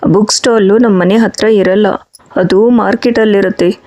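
A woman voicing a patient, soothing father in a children's cartoon story, speaking in a gentle, explanatory, coaxing way. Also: medium pitch, lightly acted.

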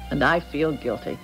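Adoring wife, lilting low voice. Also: female voice, heavily acted, low-quality recording. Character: devoted, trusting, adoring wife. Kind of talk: 1970s radio drama acting. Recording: old radio drama, faint music behind.

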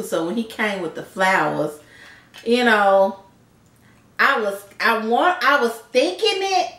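A young woman telling a story in a casual, chatty, animated way, acting out what was said. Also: mid-range voice. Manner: animated storytelling, chatty, acts out quoted lines. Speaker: vlogger, channel host. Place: living room couch, indoors. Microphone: camera a little way off. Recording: roomy sound, distant camera mic.

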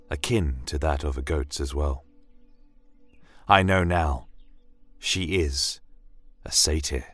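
A man's voice: rumbling low, fairly bright tone, no room sound. A man telling a scripted first-person fantasy story as its narrator.

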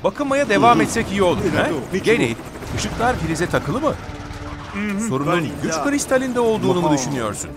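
An upbeat, encouraging cartoon helper voiced by a man, with a high, sing-song voice that swoops up and down. Loud music plays under the studio dub. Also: sing-song, normal speed. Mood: cheerful. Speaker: narrator and helper character in a children's cartoon. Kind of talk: dubbed children's cartoon dialogue.

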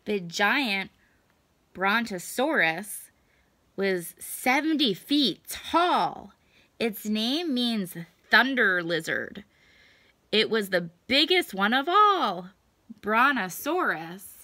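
Bright, animated woman reading to children. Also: medium pitch, no room sound. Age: young adult. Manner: expressive, deliberate, drawn-out emphasis. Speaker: children's story time reader. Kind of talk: reading a picture book aloud to children. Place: small indoor room at home.

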